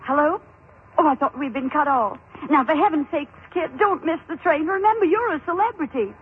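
An actress playing an excitable, easily wounded young married woman in a theatrical 1940s radio drama style. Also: high voice, fairly quick, soft background music. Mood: excited, gushing. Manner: gushing, fretful, theatrical. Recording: muffled, noisy old radio recording.

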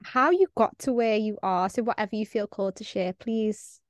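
A woman's voice: medium pitch, normal speed, no echo, clean studio sound. A woman hosting a podcast, coaching women and talking in an enthusiastic, chatty way. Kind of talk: podcast intro and interview.

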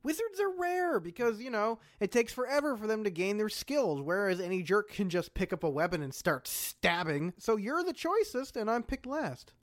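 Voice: medium pitch, sing-song, moderate pace, few pauses, no echo, clean studio sound, lightly acted. An actor voicing a cheerfully dim, blunt, lazy adventurer in a casual, slangy way for a comedic fantasy audio drama. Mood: stubborn, sulky.

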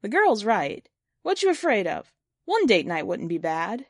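A woman's voice: mid-range voice, big pitch swings, a little slow, dry recording, studio quality. A woman voicing an eager, frank old grandmother who nudges and matchmakes in a story. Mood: eager, nudging.

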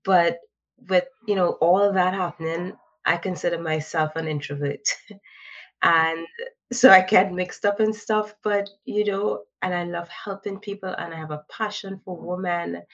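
Podcast guest: woman from Trinidad, lowish voice, chatty and reflective, dull lo-fi sound. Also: steady tone, unhurried. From Trinidad and Tobago. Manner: reflective, chatty, frequent "you know" fillers. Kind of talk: conversational interview answers.

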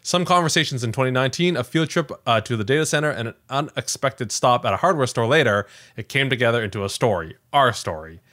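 A man's voice: mid-range voice, animated, quick pace, hardly any pauses, a bit bright. Man hosting a casual podcast, thinking aloud. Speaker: podcast host.

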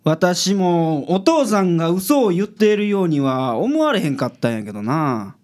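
A woman's voice: lowish voice, sing-song, normal speed, a little mellow. A woman playing a cool, dry-witted male private detective with a Kansai accent in a radio drama. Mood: dry, teasing.

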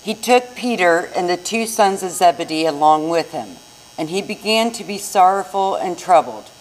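A woman's voice: lowish voice, expressive, normal speed, rough recording. A woman narrating a scripted scripture reading in church. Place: inside a church.

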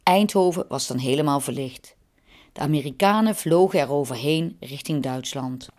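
A woman's voice: deep voice, moderate pace, a little mellow. A woman presenting and interviewing, narrating in a plain conversational way.

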